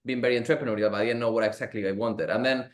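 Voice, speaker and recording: A man's voice: medium pitch, fairly even intonation. A man in his late twenties speaking eagerly as a panel speaker, peppering his talk with "you know". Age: late 20s. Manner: quick, eager, heavy use of "you know". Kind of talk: conversational panel Q&A.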